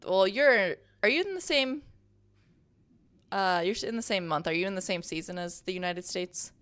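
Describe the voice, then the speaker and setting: Woman host asking questions on a video call, smooth clear voice, chatty. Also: medium pitch, normal speed, fairly bright tone. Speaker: interview host. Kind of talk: interview questions.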